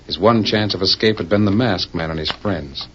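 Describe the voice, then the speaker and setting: An actor playing a grave, theatrical narrator of a 1940s radio western serial, telling the story solemnly. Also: male voice, deep voice, moderate pace, a bit bright, a little hiss or hum. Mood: grave, suspenseful. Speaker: narrator and announcer. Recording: muffled 1940s radio recording.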